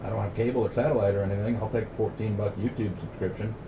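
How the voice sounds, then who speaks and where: Low-voiced American man on muffled CB radio. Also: fairly even intonation, fast. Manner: plain-spoken, opinionated, uses CB slang. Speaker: CB radio operator hosting a radio night. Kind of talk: casual radio chat. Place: CB base radio station. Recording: muffled, noisy, roomy CB radio audio.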